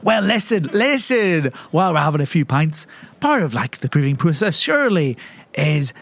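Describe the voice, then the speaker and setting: A man playing an eager, scheming investigator in an improvised tabletop game, wheedling and theatrical as he flatters people. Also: fairly high pitch, moderate pace, heavily acted. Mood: coaxing.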